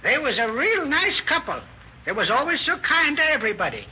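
A very high, lively voice as a folksy, talkative tenant in an old radio play. The tone is sharp and forward, on a dull, hissy old recording. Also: male voice, fairly quick, full character voice. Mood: earnest, chatty. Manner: folksy, ungrammatical speech. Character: talkative, well-meaning plain-spoken apartment tenant. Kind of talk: 1930s radio drama acting.

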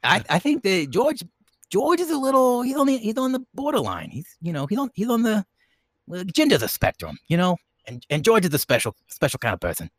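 A man's voice: high voice, wildly swooping pitch, fairly quick, dry recording, clean studio sound. A man co-hosting a podcast in casual chat, rambling and often circling back to repeat himself. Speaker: podcast co-host.

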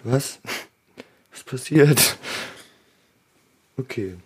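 A man's voice: medium pitch, drawn-out words, slightly rough. A man co-hosting a podcast, laid-back and dryly teasing in casual chat. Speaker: podcast co-host.

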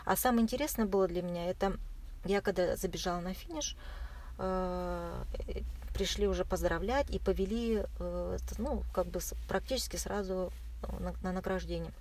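A woman recounting her experiences as an interview guest in casual, halting speech, often searching for words. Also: lowish voice, a little mellow. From Russia. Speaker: interview guest, marathon runner. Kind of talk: casual spoken recollection. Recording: clear background noise.

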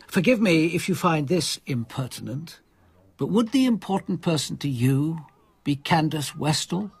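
An actor playing a composed, courteous police commander in a radio drama, with a careful, probing way of speaking. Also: male voice, on the high side, expressive, a little slow, breathy edge, heavily acted. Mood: gently probing.

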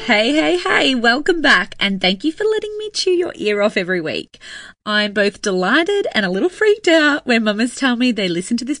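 A woman's voice: fairly high pitch, fairly bright tone, dry recording, clean studio sound. An Australian woman hosting a podcast, bubbly and enthusiastic, reading her intro and then chatting with a guest. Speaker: podcast host. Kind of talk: scripted intro then casual chat.

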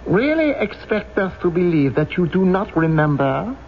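A theatrical, sneering courtroom prosecutor in an old radio play. His high voice swings widely as he mocks with drawn-out emphasis. The recording is dull and slightly noisy. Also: big pitch swings. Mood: mocking. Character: sneering, theatrical public prosecutor grilling a prisoner. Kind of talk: old-time radio drama acting.

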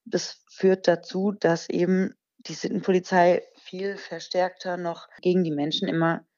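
A woman's voice: on the low side, flat delivery, measured pace, a little mellow, dull audio. A woman in her twenties from Germany, a podcast guest explaining things earnestly with occasional fillers. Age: 20s. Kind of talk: conversational interview answers.

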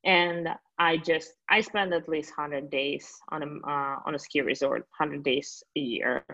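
A woman in her late twenties from Russia with a Russian accent, a guest telling stories in a relaxed way with many fillers. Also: low-pitched, measured pace, dull audio. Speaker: guest, company founder. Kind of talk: interview answers.

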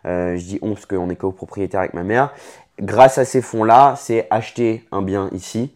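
Casual young Frenchman with a lowish voice. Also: fairly even intonation, moderate pace, darkish tone. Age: late 20s. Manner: informal, franglais slang mixed in. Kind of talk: casual chat about career and personal life.

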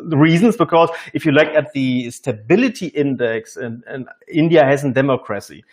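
A man hosting an informal business discussion, speaking haltingly and often repeating words mid-sentence. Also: mid-range voice, animated, a little mellow.

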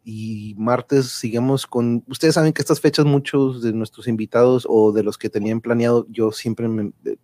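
A man's voice: mid-range voice, fairly even intonation, normal speed, hardly any pauses, warm tone, no room sound. A man with a Mexican accent hosting a casual live-stream chat, informal and sociable, dropping in English words now and then. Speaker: host of an online live show.

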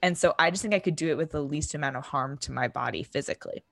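Booming, chatty young woman, low voice. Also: quick pace, vocal fry, no echo, studio quality. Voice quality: booming voice that projects. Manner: chatty, full of fillers like "like" and "um". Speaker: podcast co-host. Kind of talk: casual chat recapping a TV episode.

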